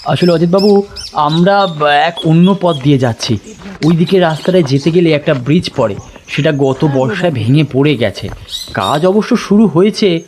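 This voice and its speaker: A man playing an anxious, talkative local gentleman who explains things conversationally in an audio drama. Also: fairly high pitch, expressive, moderate pace, a little hiss or hum, close to an everyday voice. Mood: eager.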